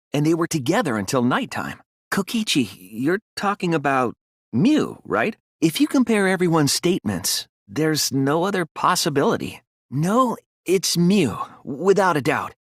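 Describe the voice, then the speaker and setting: A man voicing an earnest, soft-spoken teenage detective in a video game, reasoning carefully through a case. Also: fairly high pitch, big pitch swings, moderate pace, slightly rough, no echo, studio quality. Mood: insistent. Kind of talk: video game voice acting, deductive exposition.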